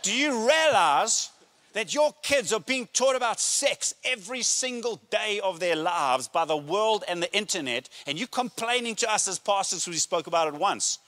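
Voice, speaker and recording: A middle-aged man, a pastor preaching a sermon with emphatic, deliberate phrasing and pauses, speaking into a handheld microphone. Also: high-pitched, lively intonation, pushed and bright. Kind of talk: sermon to a congregation. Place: church auditorium stage, indoors.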